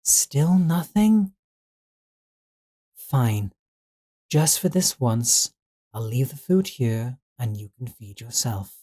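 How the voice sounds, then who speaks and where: Man acting a mellow, obsessive devoted servant. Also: mid-range voice, lively intonation, slow pace, long pauses, breathy edge. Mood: resigned, controlling. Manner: coaxing, deferential yet controlling. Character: obsessive, possessive male servant, soft-spoken and controlling. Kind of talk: scripted roleplay audio drama.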